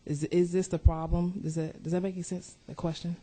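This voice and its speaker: A woman's voice: lowish voice, flat delivery, fairly quick, warm tone. A woman committee member asking a question, restating it over and over to get at her point. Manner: probing, rephrases her question repeatedly.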